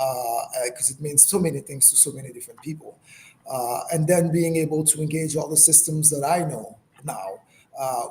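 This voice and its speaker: Higher-pitched man, thoughtful, over noisy background. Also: a little mellow. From Senegal. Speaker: guest, spiritual healer. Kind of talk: reflective conversational interview.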